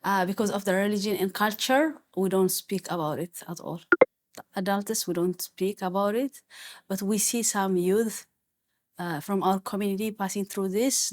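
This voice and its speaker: A woman's voice: medium pitch, darkish tone. A mother from Ethiopia or Eritrea, speaking hesitantly with many fillers in a community discussion. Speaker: parent.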